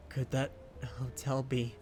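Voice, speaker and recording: A man voicing a flustered, easily rattled teenage boy who stammers and turns shrill when upset, in a fan-dubbed radio drama. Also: noisy background. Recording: soft music behind.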